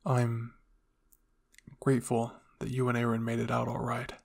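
A curt, scolding captain from an anime story, as played by a male reader. His voice is husky and gravelly, and his blunt orders hide an awkward care. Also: medium pitch, monotone, moderate pace. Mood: awkward, softened. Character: gruff, blunt soldier captain, secretly caring. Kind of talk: anime fan fiction roleplay.

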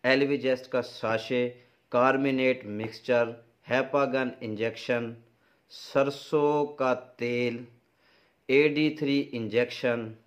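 A man's voice: mid-range voice, fairly even intonation, slow. A middle-aged man with a Pakistani accent, explaining things in a practical, instructive way as a farming advice presenter. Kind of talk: informal instructional explanation to camera. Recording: dull, lo-fi sound.

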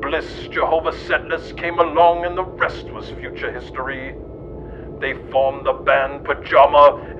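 A middle-aged man reading scripted comic narration like a storyteller, in a mock-grandiose, theatrical manner. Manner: mock-grandiose, theatrical delivery of absurd text. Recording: dull lo-fi sound with rumbling noise.

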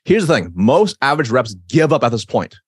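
A man's voice: medium pitch, brisk, slightly rough, dry recording. A man in his thirties who stutters at times, teaching sales with energy and emphasis on a video call from a home office, into a studio mic. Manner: energetic, emphatic, gestures while talking. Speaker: sales trainer. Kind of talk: webinar teaching. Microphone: studio mic on boom arm, video call.

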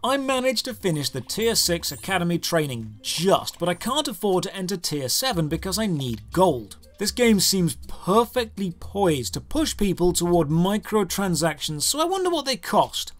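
A man's voice: sits high, big pitch swings, normal speed, few pauses. A British man narrating a scripted video game review in a sarcastic, exasperated manner. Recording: music under the voice. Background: background music.

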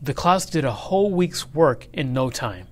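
A man reading a children's picture book aloud in an expressive, measured, dramatic way. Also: a little high. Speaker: read-aloud storyteller.

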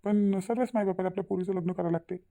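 A man's voice: high-pitched, fairly even intonation, racing, gravelly, mellow. A man with a Varhadi Marathi accent voicing a devoted, insistent young fiance in a cartoon family drama. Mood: insistent, reassuring. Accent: Varhadi Marathi from Vidarbha. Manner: colloquial, emphatic repetition.

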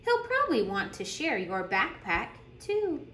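A woman reading a picture book aloud like a teacher, telling it deliberately and putting questions to young listeners. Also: fairly high pitch, wildly swooping pitch, bright, forceful tone. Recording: roomy echo with clear background noise.

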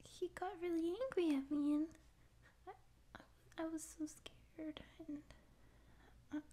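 A young woman acting a naive, pouty stray catgirl in a soft, halting ASMR roleplay voice. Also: high voice, steady tone, a little hiss or hum. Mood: sad, tearful. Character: naive, tearful stray catgirl would-be space pirate. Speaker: ASMR voice actor. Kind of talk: ASMR roleplay audio drama.

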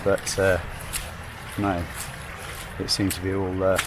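A man's voice: sits low, completely flat delivery. An older man chatting casually to a handheld camera while hiking outdoors, rambling and self-mocking. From England. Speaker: hiking vlogger. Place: outdoors by a rushing river in woodland. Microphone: camera held at arm's length. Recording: loud rushing river noise behind. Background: roaring river water.

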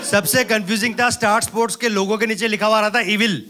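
High-voiced man doing stand-up. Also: fast. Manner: animated, gestures a lot, teases the audience. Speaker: stand-up comedian. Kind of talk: stand-up comedy set. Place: comedy club stage before a live audience. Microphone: handheld mic. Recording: loud crowd laughter and noise. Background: audience laughter.

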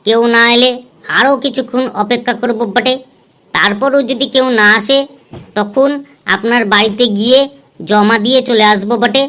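A woman voicing a simple rustic schoolboy in a comedy cartoon, deliberate and halting. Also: a little high, fairly even intonation, a bit bright, lightly acted. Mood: placid, deliberate.

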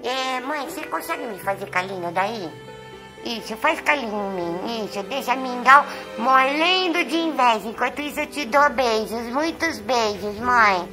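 A woman's voice: fairly high pitch, animated, normal speed, music in the background, natural voice, low-quality recording. A woman with a Brazilian accent voicing a chatty, nervous, affectionate young male house cat in a pet vlog. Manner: sweet, coy, chatty to viewers.

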